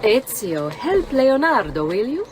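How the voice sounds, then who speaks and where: A woman's voice: on the high side, sing-song, unhurried, noisy background. An actress playing a poised, wry middle-aged Italian mother in a video game, with an Italian accent. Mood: wise, teasing. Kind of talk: video game cutscene acting.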